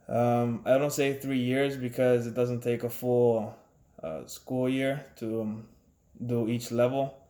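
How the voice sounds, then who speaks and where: Laid-back man, low flat voice, echoey room. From Ecuador. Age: 30s. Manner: relaxed, halting, many fillers and restarts. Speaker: auto mechanic. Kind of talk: casual self-introduction.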